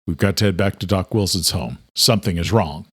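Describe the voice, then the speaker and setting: A man's voice: deep voice, fairly quick, husky, no echo, clean studio sound, pitched below the performer's own voice. A man playing a grim, resolute brother, firm and decisive, in audiobook thriller dialogue. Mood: grave. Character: grim, resolute brother taking charge of the hunt.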